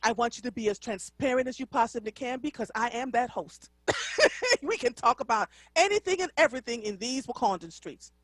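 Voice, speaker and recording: A woman's voice: a little high, lively intonation, brisk, no room sound. Effusive Jamaican woman radio host. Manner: effusive and exclamatory, laughs often. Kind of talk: live radio talk show interview.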